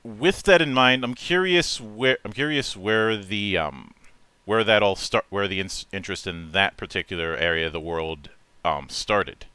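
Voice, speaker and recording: A man hosts a live interview show with a bright, forward voice. He tends to stammer and restate his phrases. The sound is clean and dry, with a smooth, resonant tone. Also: fairly low pitch, normal speed, no room sound. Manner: stammering, repeats phrases as he asks. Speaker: show host.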